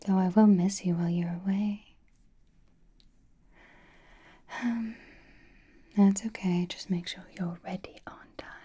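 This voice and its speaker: A woman playing a centuries-old aristocratic vampire lady in a whispered ASMR roleplay, doting, possessive and softly teasing, with refined manners and a breathy voice. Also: mid-range voice, fairly even intonation, normal speed, natural voice. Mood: possessive, fond.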